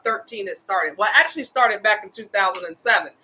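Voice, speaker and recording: A woman's voice: mid-range voice, lively intonation, quick pace, bright, forceful tone, slight background noise. A woman in her fifties hosting a casual live show, speaking with earnest, impassioned conviction as an advocate. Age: 50s. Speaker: host, homeless women's advocate. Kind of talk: casual livestream talk.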